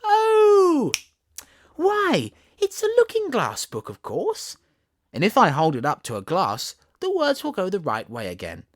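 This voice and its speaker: A man voicing a bright, curious, giggly little girl from a storybook, chatty and excitable. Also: high voice, a little slow, dry recording, clean studio sound, pitched above the performer's own voice, heavily acted. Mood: excited discovery.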